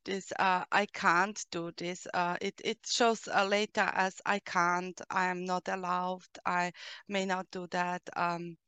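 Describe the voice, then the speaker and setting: Slow, measured Austrian-accented woman. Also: lowish voice, level tone, bright, forceful tone, smooth, no echo. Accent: Austrian German. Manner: hesitant, many "uh" fillers, measured and explanatory. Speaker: guest, coach who helps children and adults. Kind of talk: interview answers.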